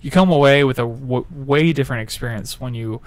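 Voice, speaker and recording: A man's voice: mid-range voice, brisk, dry recording. A man in casual podcast chat on a couch, talking loosely and bluntly with casual swearing into a handheld mic. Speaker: podcast co-host. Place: living room couch. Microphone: handheld mic close to mouth.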